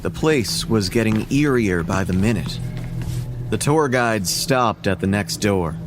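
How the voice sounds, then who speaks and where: A man's voice: lowish voice, lively intonation, measured pace. A man reading a scripted first-person horror story as its narrator. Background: music.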